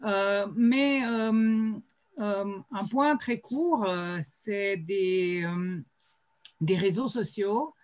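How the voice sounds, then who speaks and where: Lady on a video call, talks real slow, stops to think all the time. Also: mid-range voice, a little mellow. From Slovenia. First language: Slovene. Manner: hesitant, many drawn-out "euh" pauses. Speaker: writer at a colloquium panel. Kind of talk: panel discussion remarks.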